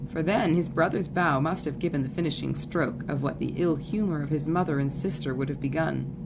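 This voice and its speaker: A woman reading literary fiction aloud as scripted audiobook narration. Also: sits low. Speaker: audiobook reader. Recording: clearly noisy recording, background ambience.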